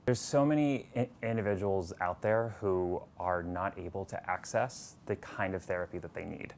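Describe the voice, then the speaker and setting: Earnest man with a very deep voice. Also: normal speed, creaky, darkish tone, a little hiss or hum. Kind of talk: promotional interview.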